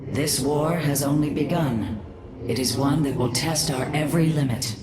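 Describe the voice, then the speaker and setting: An actress playing a proud, bitter alien matriarch in a sci-fi game cutscene, speaking in a cold, formal, solemn way. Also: female voice, low voice, normal speed, natural voice. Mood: grave. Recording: echoing voice under very loud score.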